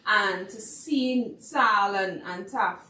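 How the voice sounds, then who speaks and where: A woman's voice: mid-range voice, takes it slowly, pushed and bright. A woman with a Trinidadian accent, a playwright guest, chatting casually and animatedly with plenty of fillers. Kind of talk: casual chat. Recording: roomy, distant mic, faint noise.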